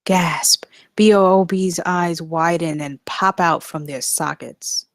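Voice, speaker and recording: A woman with a low voice reads out stage directions for a comedy table read. Her scripted narration is recorded dry, without room sound. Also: steady tone, slow pace, no echo.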